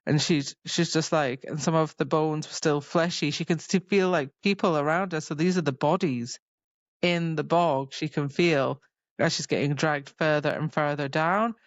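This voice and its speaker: Female podcast co-host, rather low voice, dry and a bit muffled, loosely rambling through a story retelling. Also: no room sound. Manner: loose, halting, filler-heavy phrasing with many "like"s. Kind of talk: casual book chat, retelling scenes.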